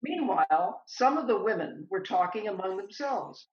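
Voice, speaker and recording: A woman narrating a scripted dramatic story with theatrical, deliberate phrasing. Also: medium pitch, expressive, close to an everyday voice. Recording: echoey room, slightly dull audio. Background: music.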